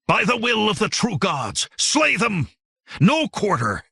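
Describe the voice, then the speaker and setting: A fanatical hillman war chief, as a male narrator plays him, pitched well above an ordinary speaking voice. He cries out commands with fierce zeal, as in a fantasy adventure audiobook, close and dry. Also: wildly swooping pitch, takes it slowly, creaky, breathy edge, no echo, exaggerated voice. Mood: fierce battle fury. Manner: commanding, shouted zealous cries.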